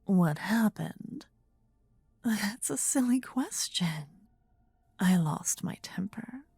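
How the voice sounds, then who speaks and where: A woman playing a possessive, tender demon queen in a fantasy ASMR roleplay, with a soft breathy whisper and an intimate, regal, coaxing manner. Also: medium pitch, expressive, slow pace, dry recording, heavily acted. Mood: evasive, soothing.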